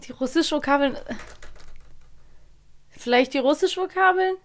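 A woman co-hosting a casual chat, talkative and quick to react. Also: sits high. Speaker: podcast co-host.

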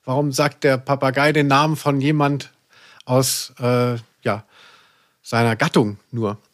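A male podcast co-host chatting casually and humorously, with halting phrasing and wry asides. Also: medium pitch, steady tone, no room sound, studio quality.

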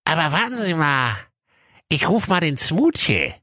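A man's voice: on the high side, big pitch swings, measured pace, bright, forceful tone, dry recording, studio quality, voice pushed up, heavily acted. A man voicing a fussy, bumbling personnel clerk in a comic fairy tale, hesitant and dismissive.

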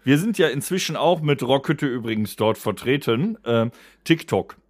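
A man's voice: mid-range voice, lively intonation, normal speed. A man hosting a podcast in casual, joking chat, with a crude, slangy way of talking. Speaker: podcast host.

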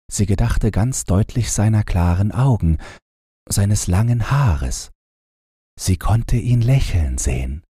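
A man's voice: fairly low pitch, dark tone, husky, dry recording, studio quality. A man narrating a bedtime fairy tale as a scripted audiobook.

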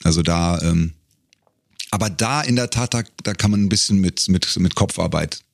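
A man speaking casually as an interview guest, a professional voice artist, who talks thoughtfully and haltingly as he searches for words. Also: low voice, creaky, no echo, clean studio sound.

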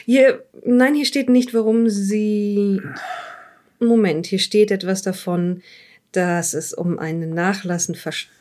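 A woman playing a polite, patient police interviewer in an improvised audio drama, courteous and a little halting. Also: mid-range voice, fairly even intonation, darkish tone, natural voice. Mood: probing, careful.

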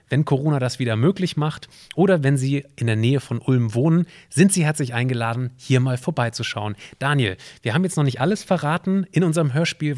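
A man's voice: mid-range voice, a little mellow. A man hosting a semi-scripted audio play, explaining things to a companion and to listeners. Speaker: podcast host and co-creator of an exhibition audio play.

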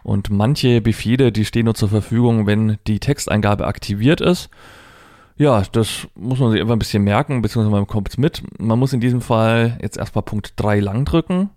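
A man's voice: on the low side, normal speed, darkish tone, no room sound, clean studio sound. A man presenting a hands-on product demonstration, explaining informally step by step and thinking aloud as he goes. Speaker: presenter demonstrating assistive technology.

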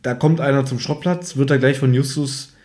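A man's voice: medium pitch, steady tone, moderate pace, a little mellow. A man hosting a podcast in speech, chatting casually about radio plays in a teasing, nitpicking way. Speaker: podcast host.

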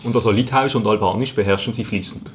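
A man's voice: on the low side, fast talker, slight background noise. A man hosting a science podcast, who asks an interview guest polite, formal questions.

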